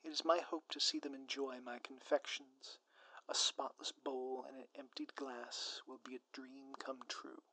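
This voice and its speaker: A man's voice: medium pitch, flat delivery, unhurried, sharp tone, no echo, rough recording. A man acting a scheming, secretly lovestruck supervillain in an ASMR audio roleplay, speaking to the listener in grandiloquent, florid phrases. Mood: whispered scheming. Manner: grandiloquent, theatrical, florid formal wording. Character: theatrical male supervillain, scheming, secretly lovestruck doting spouse. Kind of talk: scripted ASMR roleplay, direct address to listener.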